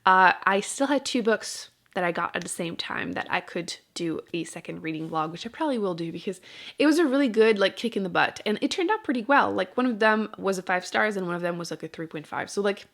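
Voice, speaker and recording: A woman's voice: mid-range voice, expressive, fairly quick, a bit bright. A woman book vlogger talking casually and informally to camera, often rambling and interrupting herself with dry understatement. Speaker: book vlogger and channel host. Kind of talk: casual chat to camera about books.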